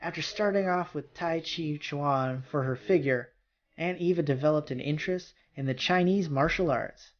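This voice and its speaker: A man's voice: a little high, moderate pace, lo-fi sound. A man reading a visual novel's narration aloud, breaking off for casual reactions, with music behind him. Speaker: amateur visual novel reader and player.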